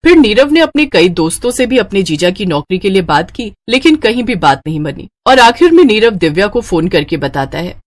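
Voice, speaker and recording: A woman's voice: mid-range voice, big pitch swings, moderate pace, hardly any pauses, dry recording. A woman narrator reading a scripted moral story.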